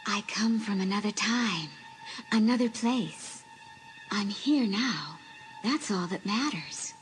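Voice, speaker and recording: Woman acting a wistful teen girl ghost, slow n breathy, half whispering, audiobook drama with music under it. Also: mid-range voice, close to an everyday voice. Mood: pleading. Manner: slow, hushed, earnest. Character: ghost of a sixteen-year-old girl in a mirror, pleading, wistful.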